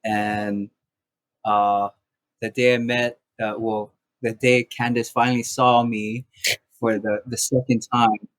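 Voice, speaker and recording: A young man from Maui, a house church pastor, sharing his story in a soft-spoken, halting way with many restarts. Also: medium pitch, level tone, dry recording, poor audio. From Maui, Hawaii. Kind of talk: casual podcast conversation.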